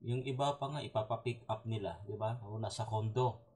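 A man giving a relaxed software walkthrough, explaining step by step with frequent fillers and tag questions. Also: fairly low pitch, fairly quick. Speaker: software tutorial presenter. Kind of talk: casual screen-recorded software walkthrough.

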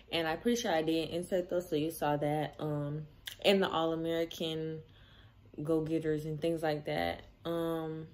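A young woman with a raspy voice talking softly and tiredly in a dorm room late at night. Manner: soft, hushed, low-key. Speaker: vlogger. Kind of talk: quiet late-night vlog. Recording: loud crowd noise.

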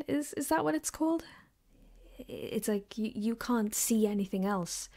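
A woman playing a gentle, caring girlfriend in an ASMR roleplay, speaking softly and hesitantly, sometimes whispering, close to the mic. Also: mid-range voice, lively intonation, a little slow, vocal fry. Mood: tender, reassuring. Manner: soft-spoken, hesitant, rambling. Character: gentle caring girlfriend, comforting her partner. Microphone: close binaural microphone.

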